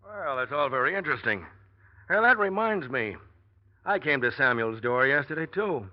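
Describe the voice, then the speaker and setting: Actor as insinuating male police inspector, animated pitch. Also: moderate pace, close to an everyday voice. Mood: probing, sly. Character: cool, probing police inspector springing traps on suspect. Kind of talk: 1940s radio acting. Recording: dull, muffled old radio recording.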